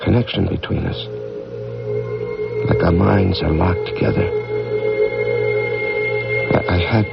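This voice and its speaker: An actor playing a weary, haunted veteran astronaut commander with an American accent in a 1980s radio drama. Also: male voice, low-pitched, slow pace, gravelly, long pauses, full character voice. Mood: bewildered, demanding. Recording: muffled old radio broadcast, music behind.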